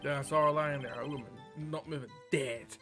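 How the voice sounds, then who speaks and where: A man's voice: fairly high pitch, fairly even intonation, fairly quick, natural voice. A man voicing a nervous, evasive male witness in a visual novel game, hesitant and stammering as he over-explains. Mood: recounting. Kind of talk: visual novel game dialogue read aloud. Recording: game music behind the voice.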